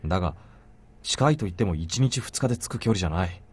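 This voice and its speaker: A blunt young man in a Japanese visual novel. He talks very fast in a lowish voice, terse and matter-of-fact, protective and resolved. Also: expressive, husky. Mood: firm, serious.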